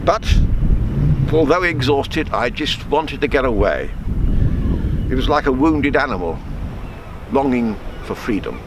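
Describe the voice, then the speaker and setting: A man, reading a true story aloud in measured, deliberate phrases. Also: mid-range voice, fairly bright tone. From Alderney, Channel Islands. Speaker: storyteller reading his book. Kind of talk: read-aloud true story with spoken asides. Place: outdoors on a rocky harbour shore. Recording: outdoor wind and water noise.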